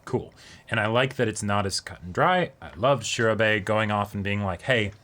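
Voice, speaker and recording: Low, bright-edged man in casual discussion. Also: normal speed. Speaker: co-host of an anime reaction discussion show. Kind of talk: casual analytical chat.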